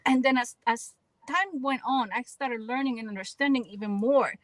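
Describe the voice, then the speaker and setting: A woman's voice: fairly high pitch, normal speed, fairly bright tone, dry recording. A woman from the Dominican Republic with a Dominican Spanish accent, Spanish as her first language, speaking earnestly and reflectively as a guest actress in a radio interview, often repeating words while she finds her phrasing. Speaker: guest actress and spoken word artist.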